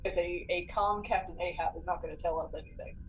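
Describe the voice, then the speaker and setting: A woman's voice: lowish voice, steady tone, fast, phone-quality sound, slight background noise. A woman playing a tabletop role-playing game, casually talking through her decisions.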